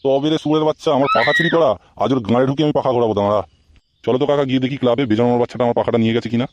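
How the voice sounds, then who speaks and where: A man's voice: medium pitch, very fast, muffled recording, lightly acted. A man voicing an indignant, hot-tempered married man in a comic cartoon. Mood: furious. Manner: indignant, cursing.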